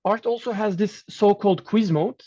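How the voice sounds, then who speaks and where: A man whose first language is Turkish, giving an academic lecture in English over slides, measured and pausing, often restarting his phrases. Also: high-pitched, dark tone, dull audio. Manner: measured, with frequent restarts and fillers. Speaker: guest lecturer.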